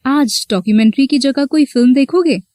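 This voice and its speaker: Casting: actress for manipulative, flirty married woman teacher, possessive, higher-pitched voice, scripted story dialogue, dry studio sound. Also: a little fast, mellow, no room sound, lightly acted. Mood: lonely, intimate.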